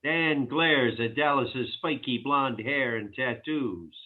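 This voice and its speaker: A man's voice: medium pitch, slow. A man reading stage directions aloud as the narrator of a virtual table read. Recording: tinny phone-like line.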